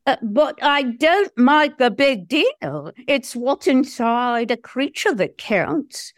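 Audio play: sweet-natured, modest she-dog, played by a woman, high voice, slow earnest delivery. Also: lively intonation, no echo, clean studio sound. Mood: demure, sincere. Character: gorgeous, modest, gentle female Australian Shepherd dog. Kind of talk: audio drama acting.

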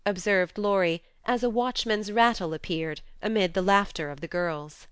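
A woman's voice: medium pitch, moderate pace, a bit bright, clear, resonant tone, dry recording. A woman reading scripted literary narration as an audiobook narrator. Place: quiet, dry recording space.

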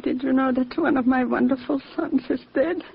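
An actress playing a grieving, drowsy old mother, weak and tearful, with a husky, creaky voice in an old radio drama. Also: high voice, fairly even intonation, moderate pace, exaggerated voice. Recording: muffled old radio broadcast.

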